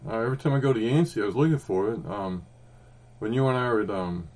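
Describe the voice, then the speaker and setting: A man's voice: on the low side, fast, darkish tone. A man in his fifties with an Oklahoma accent, chatting casually as a podcast co-host, rambling with ums and restarts. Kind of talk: casual chat about comics.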